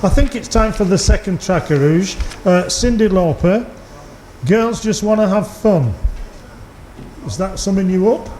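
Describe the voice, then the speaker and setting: A man's voice: high-pitched, lively intonation, measured pace, a little mellow. A middle-aged or older man, hosting a casual radio interview in a genial, jokey way. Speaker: community radio host, interviewer. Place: live radio theatre with audience. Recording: echoey room, faint background noise.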